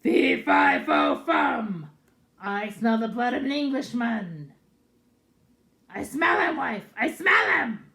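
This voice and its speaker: A woman voicing a huge, greedy male ogre who roars and shouts his commands, reading a storybook aloud. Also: on the high side, animated, takes it slowly, gravelly, few pauses, reverberant, voice pushed up, full character voice. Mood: bellowing.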